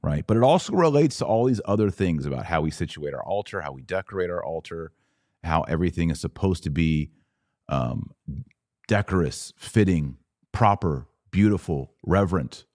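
A man's voice: sits low, lively intonation, moderate pace, a little mellow, dry recording, studio quality. A man hosting a religious podcast, talking casually and instructively straight to his online audience. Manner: conversational, didactic, addresses listeners directly. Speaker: podcast host.

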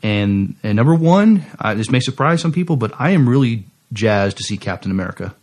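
A man co-hosting a podcast in casual chat, talking haltingly and stammering over the starts of words. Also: mid-range voice, very animated, a little mellow. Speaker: podcast co-host.

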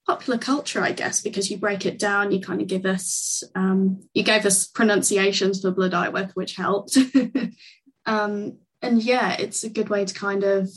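A woman's voice: medium pitch, flat delivery, moderate pace, slightly rough, rough recording. Chatty woman podcast interviewer. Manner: friendly, chatty, laughs easily. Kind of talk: casual podcast chat.

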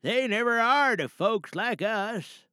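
A man voicing a steady, protective old grandfather in an audio drama monologue, speaking in short, halting, deliberate phrases. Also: sits high, clear, resonant tone, dry recording, studio quality, pitched above the performer's own voice, heavily acted. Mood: concerned, calming.

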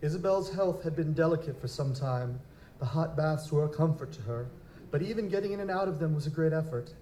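Man playing a high-voiced, stiff young lord, echoey. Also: brisk, mellow, lightly acted. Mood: defensive, stiff. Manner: formal, stiff, aristocratic diction. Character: formal, touchy young male nobleman, bereaved fiancé. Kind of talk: live audio drama acting. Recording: roomy, echoing, noisy live recording.

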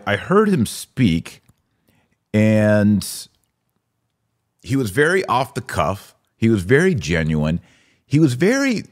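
A man with an American accent and a low voice speaks as a podcast host. He comments on the news to his audience in an informal, conversational style, stating his opinions plainly.

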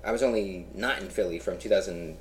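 A man's voice: sits low, a little fast, a little hiss or hum. A man from Philadelphia hosting a casual interview, asking easygoing questions with lots of "yeah" and "uh". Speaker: podcast host.

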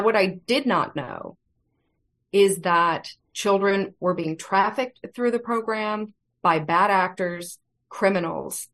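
A woman being interviewed as a guest, speaking earnestly and in a measured way. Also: lowish voice, fairly bright tone. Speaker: guest, federal whistleblower. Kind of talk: interview answers.

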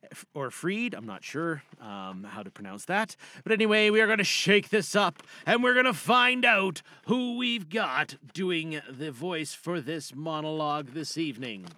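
A man's voice: fairly high pitch, sharp tone, no echo, clean studio sound. A man hosting a podcast in a casual, chatty, playful way with a bit of showmanship. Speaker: podcast host and amateur voice performer.

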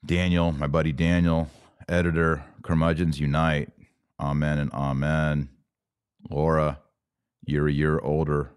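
A man's voice: very deep voice, little pitch movement, unhurried, clean tone, no room sound, clean studio sound. A forty-five-year-old man hosting a casual livestream talk, answering viewer comments in a blunt, opinionated, mock-grumpy manner. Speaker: podcast host.

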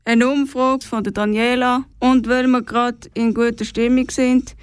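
A woman's voice: on the high side, level tone, measured pace, clear, resonant tone, no room sound. A woman with a Swiss German accent hosting a radio show and giving a scripted introduction. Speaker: radio host.

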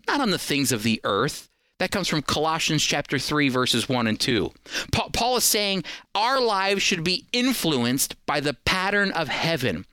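A man's voice: on the high side, bright, forceful tone, clean tone, dry recording. A middle-aged American man hosting a program, giving scripted commentary with an earnest, preacherly emphasis. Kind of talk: scripted faith and politics commentary.

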